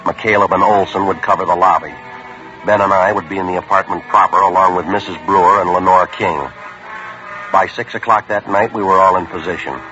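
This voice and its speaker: An actor playing a terse police sergeant who narrates in a flat, clipped, deadpan manner, in 1950s radio drama style. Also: male voice, deep voice, fairly quick, soft background music. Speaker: detective narrator. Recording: muffled old radio broadcast.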